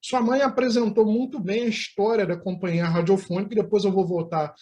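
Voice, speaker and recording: A man's voice: high voice, fairly even intonation, brisk, a little mellow. A man with a Brazilian accent hosting a conversational interview, phrasing things in a wordy, formal way. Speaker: interview show host.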